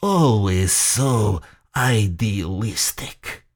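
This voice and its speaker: Man acting an oily, gloating general, slow and menacing. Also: lowish voice, lively intonation, full character voice. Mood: smug. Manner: sultry, theatrical, deliberate.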